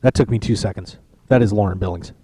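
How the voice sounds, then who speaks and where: A man hosts a poker radio show live from a tournament lounge. His voice is mellow and dark, and the sound is dry. He rambles and jokes with lots of restarts. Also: fairly low pitch, quick pace, slightly rough, dry recording. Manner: chatty, joking, rambling with restarts. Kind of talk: casual live-stream chat.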